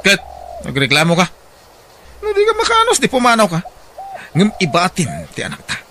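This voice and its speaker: A man's voice: sits high, wildly swooping pitch, normal speed, background noise. A man with an Ilocano accent playing a harsh, hot-tempered husband and father in a radio drama, scolding and emphatic. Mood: irritated, harsh.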